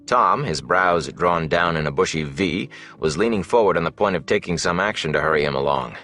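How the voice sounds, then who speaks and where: A man's voice: low voice, animated, a little fast, pushed and bright. A man reading scripted fantasy narration as an audiobook narrator.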